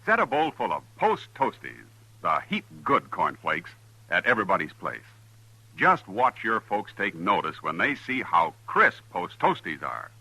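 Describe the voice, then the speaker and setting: A man's voice: lowish voice, pushed and bright, breathy edge, no room sound, rough recording. An American man reading an old-time radio advertisement in a folksy, salesmanlike way. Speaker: commercial announcer. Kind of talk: 1950s radio cereal advertisement. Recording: dry vintage radio recording.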